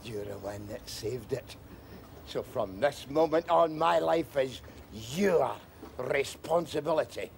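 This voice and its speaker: An actor playing a despairing man who was pulled from drowning, speaking in a low, flat, solemn way. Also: takes it slowly, vocal fry, a little hiss or hum.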